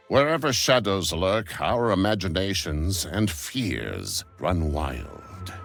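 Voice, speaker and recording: A man playing a pompous stage narrator in a game, delivering grand, portentous, gravelly melodrama. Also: very deep voice, fairly bright tone, full character voice. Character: theatrical male stage narrator, ominous and grand.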